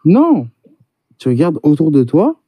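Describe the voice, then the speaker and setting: Dark-voiced insistent man. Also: on the high side, wildly swooping pitch, unhurried, breathy edge, no room sound. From Paris. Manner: insistent, argumentative, talks over others. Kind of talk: heated casual debate.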